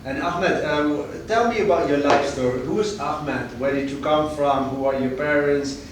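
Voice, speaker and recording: Male podcast host, slightly high, echoey. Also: moderate pace. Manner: short prompts, teasing. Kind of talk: casual interview chat. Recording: echoey room, distant mic, noisy.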